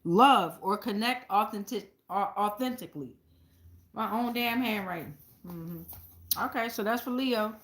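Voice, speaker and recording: A woman's voice: medium pitch, unhurried, a bit bright. An American woman giving a casual, rambling tarot reading to viewers, blunt and slangy, with heavy cursing. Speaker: tarot card reader hosting her show. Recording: echoey room.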